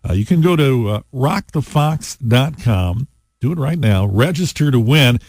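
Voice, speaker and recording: A man hosting a rock radio show, talking in a relaxed, chatty way with frequent fillers between songs. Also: medium pitch, measured pace, no room sound, studio quality. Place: radio studio. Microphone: studio microphone.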